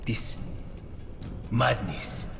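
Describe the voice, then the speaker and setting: An actor playing a menacing, cold-blooded dealer in a video game, speaking deliberately and threateningly. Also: male voice. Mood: stern, threatening. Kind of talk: dramatic video game voice acting. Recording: music behind the voice.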